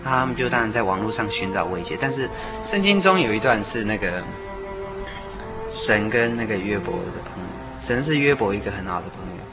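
Fast-talking low-voiced man chatting. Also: expressive. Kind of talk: casual faith chat. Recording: background music under the talk. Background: music.

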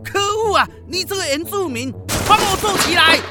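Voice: extremely high pitch, animated, normal speed, over loud music, pitched above the performer's own voice. An actor playing a boastful, hot-tempered master cook in a children's radio drama. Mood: furious.

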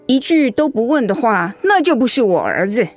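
An actress in a Mandarin radio drama playing a caring older mother-in-law, soothing, motherly and gently wry. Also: on the high side, very animated, moderate pace, soft background music. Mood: wry, indulgent.

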